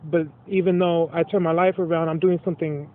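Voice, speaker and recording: A man's voice: a little high, fairly even intonation, brisk. A man from Los Angeles, a rapper guest talking candidly in a casual interview, streetwise and swearing freely. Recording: tinny phone-like line.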